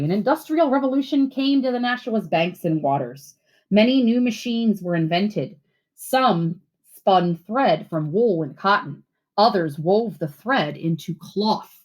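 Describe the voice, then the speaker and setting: Lady teacher doing a read-aloud for kids, medium pitch, expressive and clearly phrased. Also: low-quality recording. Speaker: teacher reading a picture book aloud. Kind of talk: storybook read-aloud for children.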